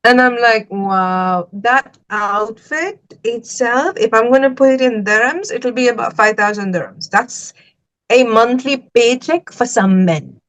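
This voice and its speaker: A chatty woman from Sri Lanka hosts a casual podcast conversation. Her voice sits a little high, and she keeps dropping "like" and "y'all" into emphatic asides. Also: unhurried, fairly bright tone, poor audio. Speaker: podcast host.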